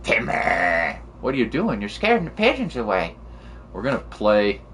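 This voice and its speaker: A man's voice: fairly low pitch, wildly swooping pitch, normal speed, a bit bright, slight background noise. A man chatting casually and commentating as a game streamer, with a laid-back, slangy way of talking. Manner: laid-back slangy talk with mild swearing. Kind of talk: casual livestream chat and gameplay commentary.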